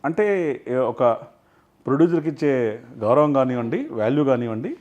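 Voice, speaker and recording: A man's voice: mid-range voice, big pitch swings, moderate pace, a little mellow. A man speaking as a film industry guest in an interview, often switching into English phrases. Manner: mixes English phrases into his talk. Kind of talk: animated interview talk.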